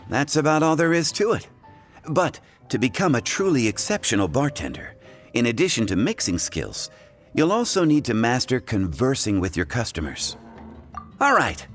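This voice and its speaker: A man's voice: medium pitch, fairly bright tone. An actor playing a friendly bartender explaining the basics of his trade, chuckling as he talks. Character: friendly adult male bartender teacher. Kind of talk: game cutscene voice acting.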